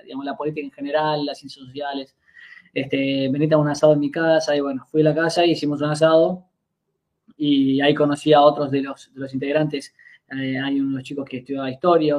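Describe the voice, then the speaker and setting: Hesitant Argentine man in his twenties, fairly high voice. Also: highs cut off. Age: 20s. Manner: hesitant, many fillers and restarts while recounting. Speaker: podcast guest. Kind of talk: casual interview storytelling.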